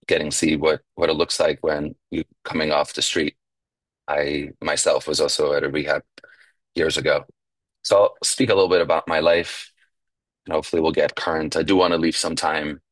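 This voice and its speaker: Very deep-voiced man sharing his story. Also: a bit bright, dry recording, poor audio. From New York. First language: Yiddish. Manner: reflective, halting, with fillers and restarts. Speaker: speaker at a recovery meeting. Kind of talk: personal recovery share.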